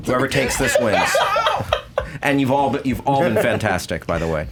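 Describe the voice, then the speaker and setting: A man's voice: medium pitch, lively intonation, moderate pace, heavy background noise. A man hosting and judging a movie debate show in a casual, unscripted way, weighing his words with some hesitation.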